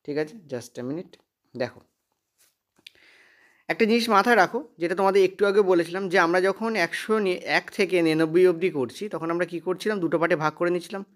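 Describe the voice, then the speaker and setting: Male maths tutor. High-pitched, rapid delivery, emphatic repetition, frequent check questions. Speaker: maths teacher. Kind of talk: exam-prep lesson, explaining step by step.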